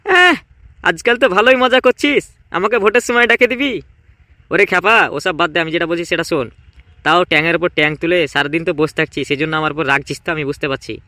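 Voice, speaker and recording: A man's voice: on the high side, fast, bright, forceful tone, no room sound. A man voicing a preoccupied, self-important village council chief in a comedy cartoon, blunt and musing. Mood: irritated, scheming.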